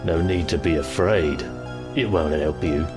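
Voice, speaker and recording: A man's voice: sits low, measured pace, over music, pitched below the performer's own voice. A man voicing a smug, predatory wolf villain in an amateur fan fiction reading, with a taunting, leering manner. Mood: menacing, leering.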